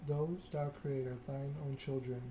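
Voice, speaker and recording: A soft-spoken male game streamer talking in an ASMR style, measured and a little halting. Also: mid-range voice, steady tone, a little hiss or hum, poor audio.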